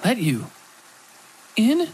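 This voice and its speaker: Man as a high-pitched, mousy, wary woman. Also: huge pitch swings, very slow, darkish tone, exaggerated voice. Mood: startled, wary. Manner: hesitant, guarded. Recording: clear music behind the voice.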